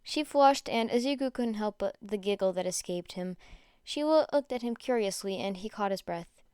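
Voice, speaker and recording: A woman narrating a fan fiction story aloud with expressive delivery. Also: medium pitch, moderate pace, smooth, no echo, clean studio sound.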